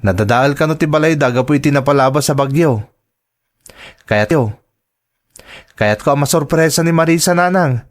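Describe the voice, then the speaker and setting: A man's voice: fairly high pitch, moderate pace, pauses often, lightly acted. An actor playing a generous, devoted young man in an Ilocano audio drama. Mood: eager, respectful. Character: generous, devoted young man sending money to his girlfriend. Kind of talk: radio drama acting in Ilocano.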